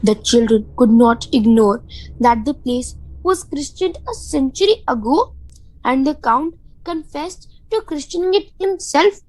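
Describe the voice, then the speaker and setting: A woman's voice: high voice, expressive, unhurried, a little mellow, no room sound, low-quality recording. A woman reading scripted narration for a radio play.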